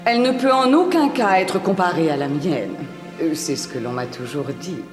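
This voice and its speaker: A regal, scheming middle-aged evil queen acted by a woman in a cartoon fairy tale. Her voice is on the low side, haughty and theatrical, echoing noticeably over clear background music. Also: lively intonation, moderate pace, natural voice. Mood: haughty, coy.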